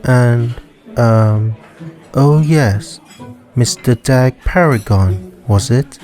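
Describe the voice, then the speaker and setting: A man voicing an emotionless, prim, formal woman in a visual novel, with wordy, deadpan delivery. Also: mid-range voice, very slow, soft background music. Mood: prim, unimpressed.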